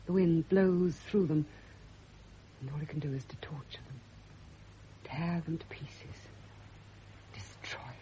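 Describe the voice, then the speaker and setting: An actress playing a fervent, lovesick woman who confesses hidden passion, in a theatrical classic radio drama style. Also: on the low side. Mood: rapt, brooding. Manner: fervent, breathy pauses, theatrical intensity. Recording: dull old radio recording, faint hiss.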